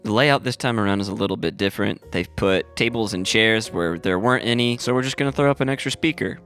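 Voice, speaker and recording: A man's voice: fairly low pitch, fast, fairly bright tone, soft background music. A laid-back man chatting casually and informally as a sound engineer vlogging his setup and testing microphones. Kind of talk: casual vlog chat with mic checks.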